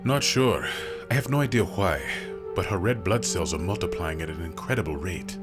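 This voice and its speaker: Dry understated doctor type, low voice, game cutscene, loud music over him. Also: male voice, expressive, normal speed. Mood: matter-of-fact. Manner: matter-of-fact, understated. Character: dry, sober male pathologist ally. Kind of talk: video game cutscene acting. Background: loud game soundtrack music.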